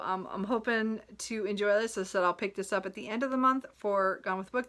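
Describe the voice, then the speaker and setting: Bright-voiced woman, chatty book vlogger. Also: mid-range voice. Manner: rambling, self-correcting, apologetic; giggles often while talking. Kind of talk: casual, chatty talk about a reading list.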